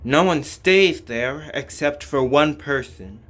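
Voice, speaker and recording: A man with a fairly high voice reads a fantasy game script aloud. His delivery is very slow and deliberate, halting between phrases as he narrates. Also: fairly bright tone. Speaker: fan voice-over reader.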